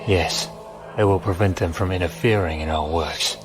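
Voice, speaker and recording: Guy doing a solemn sci-fi cult villain, really low voice, cold and formal, music under it. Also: close to an everyday voice. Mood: cold, reverent. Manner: deliberate, formal. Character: solemn, cold male zealot cult brother. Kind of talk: audio drama villain acting.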